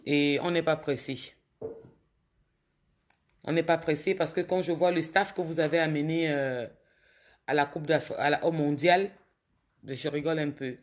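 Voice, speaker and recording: A woman with a cold, a bit hoarse, talking casually to viewers on a phone livestream and scolding commenters with indignation. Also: low voice, slight background noise. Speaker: social media livestreamer.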